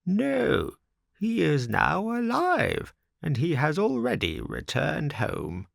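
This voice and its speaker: A man voicing an old man sitting by his hut, with a slow, drawn-out, creaky delivery. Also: fairly high pitch, wildly swooping pitch, no room sound, studio quality, voice pushed up, heavily acted. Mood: calm, slow.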